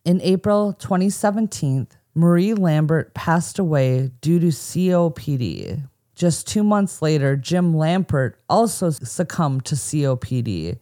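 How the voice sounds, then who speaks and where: A woman's voice: low-pitched, animated, measured pace, dark tone. An American woman co-hosting a podcast, telling a true crime story from a script with casual asides. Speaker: podcast co-host.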